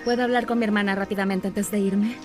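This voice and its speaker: A woman voicing a gentle, resigned young animal sister in an animated fan dub, subdued and earnest. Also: medium pitch, fast talker, over music, lightly acted.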